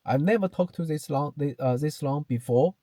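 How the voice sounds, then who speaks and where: A man's voice: fairly high pitch, normal speed, warm tone, no room sound, studio quality. Hesitant Chinese-accented man in his thirties. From China. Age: 30s. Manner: hesitant, many fillers and restarts, repeats "you know". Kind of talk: reflective personal talk to camera.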